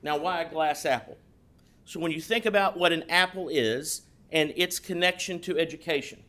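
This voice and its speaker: A man speaking loudly and at length at a state board meeting, walking the room through a tradition. His voice sits a little high for a man. He sounds practiced at public speaking. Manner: loud voice; explanatory, storytelling tone. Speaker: past board chair presenting an award. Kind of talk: formal speech at a board meeting.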